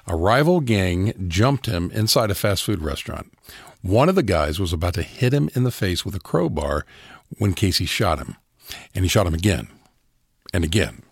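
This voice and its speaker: A man narrating a true story in a steady, serious scripted style. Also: sits low, breathy edge, no echo, studio quality.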